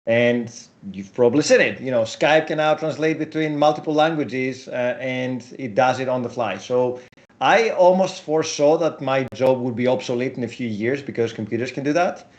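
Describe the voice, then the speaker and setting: A man's voice: mid-range voice, moderate pace, dull audio. Greek-speaking man telling his story. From Europe. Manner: storytelling, animated asides. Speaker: guest. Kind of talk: casual interview.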